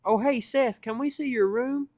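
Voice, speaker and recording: A man voicing an excitable young female pony with gushing enthusiasm in an amateur fan fiction reading. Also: very high-pitched, moderate pace, no room sound, voice pushed up. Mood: eager. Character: excitable young filly pony, girlish and singing.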